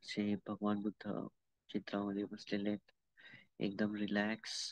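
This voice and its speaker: A man with an Indian Marathi accent leading a guided meditation as a teacher, calm and unhurried, pausing between instructions. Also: on the low side, no echo. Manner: calm, unhurried, with long pauses and repeated instructions. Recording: dull lo-fi audio.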